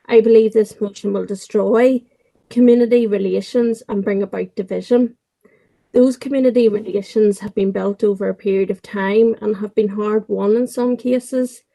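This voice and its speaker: A woman councillor giving a firm, measured speech in a council debate. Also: mid-range voice, creaky, mellow, poor audio. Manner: firm, measured, reads prepared points.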